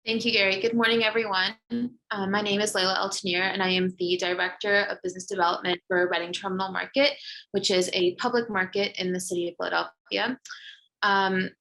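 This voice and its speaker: A woman's voice: medium pitch, fairly even intonation, fairly quick, a bit bright, rough recording. A woman in her thirties, from the UAE, introducing herself on a virtual panel in a conversational way with frequent fillers, over a video call. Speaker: panel guest.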